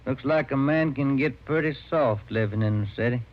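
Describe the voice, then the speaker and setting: A man's voice: medium pitch, moderate pace, close to an everyday voice. A man acting a folksy, needling ranch foreman who scorns city folk, in radio western style. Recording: muffled vintage radio recording, faint hiss.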